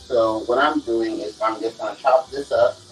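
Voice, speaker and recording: A man's voice: medium pitch, steady tone, moderate pace, faint music behind. A young man talking casually through a home cooking demonstration, often saying "okay" and "guys" to his viewers. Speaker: cooking vlogger. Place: small home kitchen, indoors. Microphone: camera set on the counter, away from him. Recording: echoey kitchen, voice from a distance.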